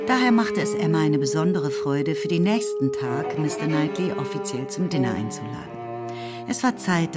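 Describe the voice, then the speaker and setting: A woman narrating a radio drama in a measured, composed way. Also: sits low. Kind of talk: scripted literary narration.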